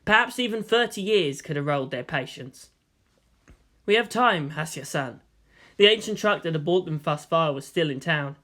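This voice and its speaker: Narrator: man with a lightly high voice, delivering prose fiction as a scripted read. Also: expressive, fairly quick, hardly any pauses, bright, forceful tone.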